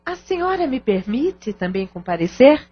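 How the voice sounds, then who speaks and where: Earnest, high-voiced daughter. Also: female voice, very animated, normal speed, slightly rough. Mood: earnest request. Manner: polite, formal. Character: earnest grown daughter, polite and hopeful. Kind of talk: audio drama acting in Portuguese. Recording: dull lo-fi audio drama recording.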